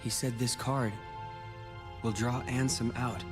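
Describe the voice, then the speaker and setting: A man's voice: medium pitch, level tone, slow, close to an everyday voice. An actor playing a determined, brooding teenage boy hero in a video game, with an earnest, guarded intensity. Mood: grim resolve. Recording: long reverb, game music behind.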